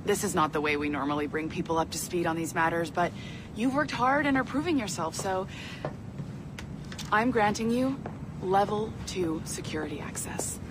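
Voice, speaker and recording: An actress playing a composed, solemn corporate supervisor in a video game, formal and measured. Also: female voice, animated, natural voice. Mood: businesslike. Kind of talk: video game cutscene acting. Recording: clear rumbling background noise.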